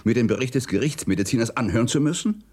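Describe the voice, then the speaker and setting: An actor playing a dogged, soft-hearted middle-aged police detective in a radio drama, earnest and insistent. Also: male voice, medium pitch, lively intonation, fast, husky. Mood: grave, earnest. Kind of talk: German radio drama acting.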